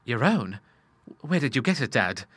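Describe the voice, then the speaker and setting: A man's voice: medium pitch, big pitch swings, moderate pace, fairly bright tone, slightly rough, dry recording. Man plays a troubled young city man, earnest and formal, dry close-miked read.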